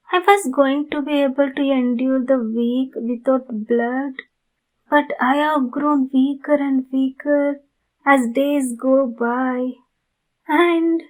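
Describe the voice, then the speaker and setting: A woman voicing a kind, polite vampire neighbor in an ASMR roleplay, gentle and apologetic in manner. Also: high voice, rough recording.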